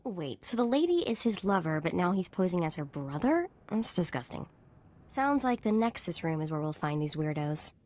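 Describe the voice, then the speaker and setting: An actress playing a chatty, irreverent sidekick in a video game, casual and wry. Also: female voice, on the low side, sing-song, moderate pace, darkish tone. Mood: wry, amused.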